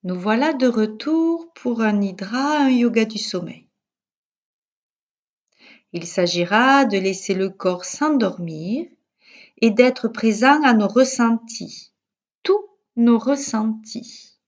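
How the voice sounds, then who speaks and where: A woman with a fairly high voice leads a guided yoga nidra very slowly. Her delivery is calm and measured, with soothing pauses between instructions. The sound is a little dull and lo-fi. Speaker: yoga teacher.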